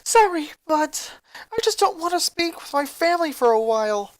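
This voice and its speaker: Woman as a distressed little boy, high-pitched. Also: big pitch swings, normal speed, no echo. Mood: upset. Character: distressed, withdrawn young boy. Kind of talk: fan fiction character voice.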